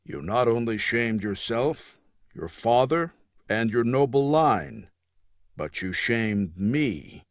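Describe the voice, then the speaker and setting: A man voicing a stern, unforgiving duke and army commander in an audiobook, speaking formally and deliberately. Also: mid-range voice, expressive, dry recording, studio quality. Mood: stern, bitter. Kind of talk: audiobook character dialogue.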